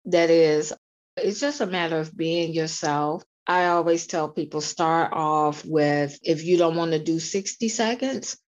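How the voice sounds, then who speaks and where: A woman hosting an interview, asking questions in a measured, encouraging way. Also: deep voice. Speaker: interviewer, host. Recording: dull lo-fi audio.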